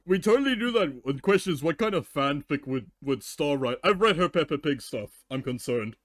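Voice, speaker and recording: A man's voice: on the high side, expressive, a little fast, dry recording, studio quality. A man who streams, reading fiction dramatically and bantering casually with teasing, foul-mouthed talk.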